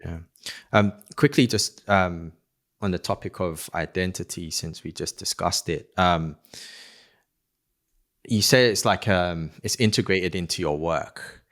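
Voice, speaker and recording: Deep-voiced man hosting a podcast. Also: unhurried, fairly bright tone. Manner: reflective, asks long searching questions with fillers. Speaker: podcast host. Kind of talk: casual conversational interview.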